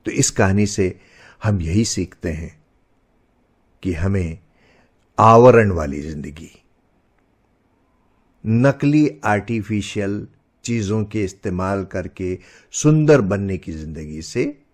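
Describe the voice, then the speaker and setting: A man narrating a scripted children's story as storyteller, expressive and didactic. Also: deep voice, takes it slowly, pauses often.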